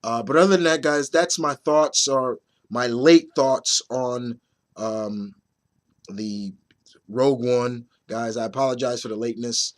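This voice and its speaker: A man in his thirties with a slightly raspy, under-the-weather voice, giving a casual, halting movie review to viewers and repeating phrases for emphasis. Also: mid-range voice. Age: 30s. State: mildly under the weather with a nagging cough.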